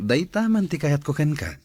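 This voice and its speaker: An actor playing a friendly, loyal, adoring young husband in an audio drama, with an Ilocano Filipino accent. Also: male voice, on the high side, big pitch swings, measured pace, darkish tone.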